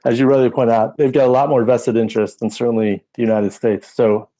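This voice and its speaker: A man with a dark, relaxed timbre and a middling pitch co-hosts a current affairs podcast. He talks casually and conversationally, as if thinking points through with a colleague. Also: brisk. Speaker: podcast co-host.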